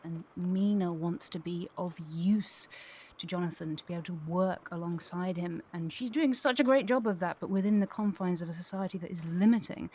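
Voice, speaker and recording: A woman with a slightly low voice talks reflectively and articulately in a discussion, as a guest would. There is faint noise behind her. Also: a little mellow. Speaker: podcast guest. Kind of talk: thoughtful conversational discussion.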